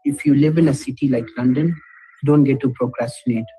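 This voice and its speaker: A man's voice: mid-range voice, steady tone, brisk, warm tone, soft background music, poor audio. A young man giving a personal testimonial as a student living abroad. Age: young adult.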